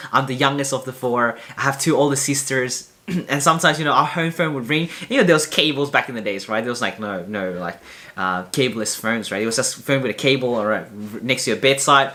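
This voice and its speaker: A young man with a high-pitched voice talking casually and candidly to camera in a vlog, rambling with many fillers and restarts. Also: bright, forceful tone. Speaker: vlogger.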